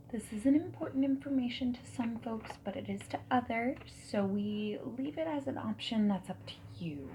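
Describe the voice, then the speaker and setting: A mellow, soft and breathy woman's voice, often dropping into a whisper, acting as a friendly, whimsical dating consultant in an ASMR roleplay. She talks slowly and gently, reassuring and chatty, over faint hiss and keyboard typing. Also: medium pitch, rough recording. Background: mechanical keyboard typing.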